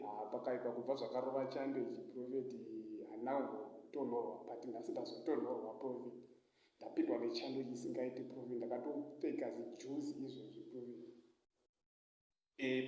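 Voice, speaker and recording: A man's voice: mid-range voice, mellow. A Zimbabwean man speaking as if on a comic one-sided phone call, hesitant and pleading, with frequent repeated interjections. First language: Shona. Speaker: YouTuber. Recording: very echoey, roomy sound.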